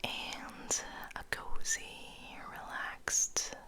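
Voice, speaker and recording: A woman whispering ASMR as host, rambling softly and intimately to the listener, sometimes slipping between two languages. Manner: slow, intimate whisper, addressing the listener affectionately; switches between English and French. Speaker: ASMR host and poetry reader.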